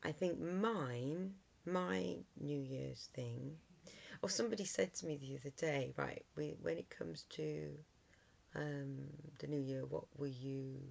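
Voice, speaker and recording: A middle-aged vlogger with a hoarse voice from a cold, talking casually to camera with many ums and restarts. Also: medium pitch, darkish tone.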